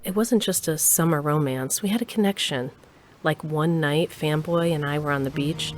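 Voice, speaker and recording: Woman acting a low-voiced, wry ex-rocker. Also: normal speed, soft background music, natural voice. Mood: wistful, confiding. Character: wry, wistful woman, ex-rocker recalling her youth. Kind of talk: first-person narration in audio drama.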